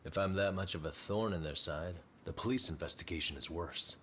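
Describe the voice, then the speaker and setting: An actor playing a determined, analytical private detective in a video game dub, self-assured in manner. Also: male voice, deep voice, brisk, a little hiss or hum. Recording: loud music behind dialogue.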